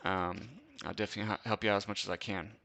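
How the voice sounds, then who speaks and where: A man's voice: on the low side, level tone, rapid-fire, creaky, pushed and bright. A young man from Wisconsin hosting a casual live-stream Q&A, chatting in a laid-back, slangy way and laughing often. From Wisconsin, USA. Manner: laid-back slangy chat, lots of "dude" and "bro", frequent laughs. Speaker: host.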